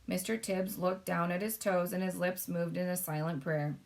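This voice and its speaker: A woman narrating a children's story, reading aloud in an expressive storytelling style. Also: on the low side, normal speed, creaky.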